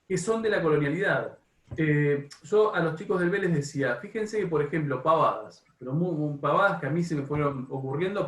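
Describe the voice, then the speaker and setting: A man with an Argentine accent leading an informal class discussion as a teacher, often halting, repeating and rephrasing as he goes. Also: a little high. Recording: echoey room, distant from microphone.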